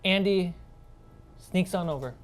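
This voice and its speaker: A woman's voice: fairly low pitch, a little slow, slight background noise. A woman running a tabletop role-playing game as game master, narrating and calling for rolls in an informal, conversational way.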